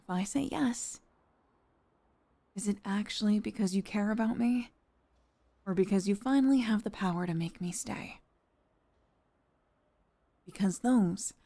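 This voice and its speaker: A woman playing a distressed young employee cornered by her boss, speaking in a halting, sighing, hurt way in an intimate dramatic roleplay monologue. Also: mid-range voice, gravelly, warm tone. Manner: halting, sighing, emotionally wounded delivery.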